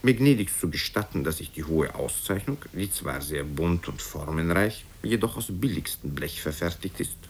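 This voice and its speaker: A storyteller playing a vain diplomat with a low voice, pompous and servile in long ornate courtesies. The satirical tale comes from an old, faintly rumbling radio tape. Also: male voice, lively intonation, moderate pace, hardly any pauses, a little hiss or hum. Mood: pompously servile. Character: vain, obsequious male diplomat.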